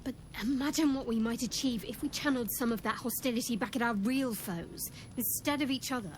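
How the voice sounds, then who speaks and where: A woman's voice: fairly high pitch, fairly quick, slight background noise, close to an everyday voice. An actress playing a guarded, cutting young adventurer woman in a video game, with a dry, wry delivery. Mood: stern, persuasive.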